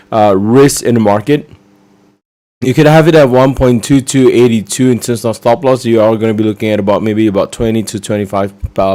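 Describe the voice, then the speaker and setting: Man, slightly low voice, going hoarse, walks viewers through trades with lots of ums; webinar presenter. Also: mellow. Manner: explains step by step with frequent fillers. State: losing his voice. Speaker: forex trading presenter. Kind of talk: live trading webinar.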